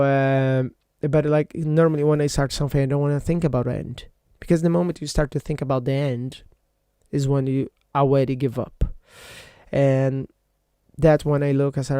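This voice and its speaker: A man's voice: mid-range voice, mellow, no echo, clean studio sound. Rambling man hosting a solo podcast. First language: Portuguese. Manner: rambling, many fillers and self-corrections. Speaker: podcast host. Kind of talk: casual solo podcast monologue. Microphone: computer microphone.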